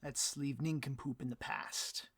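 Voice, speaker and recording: A man playing a vain, easily riled supervillain boss in a comedic audio roleplay, grandiose and melodramatic, with a husky voice. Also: medium pitch, fairly even intonation, measured pace, a bit bright, no room sound. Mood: grudging, musing. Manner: grandiose, melodramatic, petulant speechifying.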